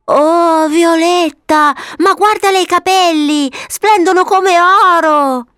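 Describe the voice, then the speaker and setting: A woman's voice: sits high, unhurried, no echo, studio quality, voice pushed up. A woman voicing an enthusiastic, affectionate little boy in a storybook, full of wide-eyed wonder. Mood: amazed. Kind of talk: storybook character voice.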